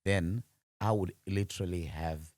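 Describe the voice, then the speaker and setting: Very deep-voiced Zimbabwean man. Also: measured pace, darkish tone, dry recording. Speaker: podcast guest, audiovisual and livestreaming business founder. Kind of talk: conversational interview answers.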